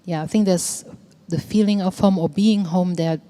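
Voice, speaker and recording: A woman sharing her thoughts in a quiet group conversation, her voice slightly low and mellow. She pauses often, and her voice tends to fade softly as she trails off. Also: steady tone. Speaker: discussion participant. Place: exhibition hall in a museum.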